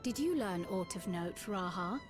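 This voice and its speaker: A woman's voice: medium pitch, lively intonation, normal speed, close to an everyday voice. A woman playing a precise, studious scholar in a fantasy game, articulate and formal in an academic way. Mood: analytical. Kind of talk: scripted game cutscene acting. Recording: clear music behind the voice. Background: music.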